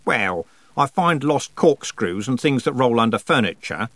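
A man's voice: on the high side, wildly swooping pitch, moderate pace, fairly bright tone, dry recording, voice pushed up. A man voicing a skinny, tired goddess woman with an offhand, wry manner in an audiobook. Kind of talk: audiobook character voice.

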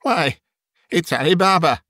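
A man voicing an old miser softened into childlike, sentimental wonder, with an eager, exclamatory delivery for an audiobook. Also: medium pitch, unhurried, no echo, studio quality. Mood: ecstatic, eager. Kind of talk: audiobook character dialogue.

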